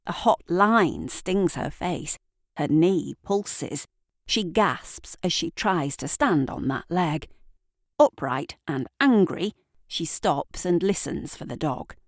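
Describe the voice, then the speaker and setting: Slow, low-voiced woman narrating drama. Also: animated, no echo, clean studio sound. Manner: deliberate, theatrical storytelling with pauses. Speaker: narrator and writer.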